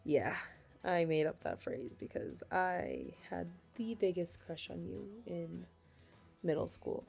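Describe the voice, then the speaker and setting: A young woman playing a flustered, affectionate best friend with a secret crush in an intimate ASMR roleplay, speaking hesitantly and haltingly. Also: on the low side, lively intonation, vocal fry, a little mellow, slightly rough, faint music behind, full character voice. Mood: shy, confessional. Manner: hesitant, halting, breaking into nervous laughs. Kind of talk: ASMR romantic roleplay, intimate conversational acting.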